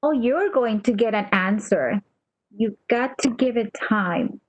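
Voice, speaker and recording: A woman acting in a radio play as a faithful nurse, gentle, deferential and reassuring, on a slightly dull recording. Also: a little high, takes it slowly, no echo. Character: faithful, soothing, deferential female nurse hiding bad news.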